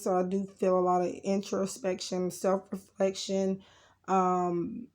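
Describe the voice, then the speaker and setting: A woman hosting a casual, unscripted tarot card reading in a rambling, informal way, her voice a little rough from being sick. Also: medium pitch, darkish tone. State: getting over sickness, slightly rough voice. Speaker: tarot card reader and channel host.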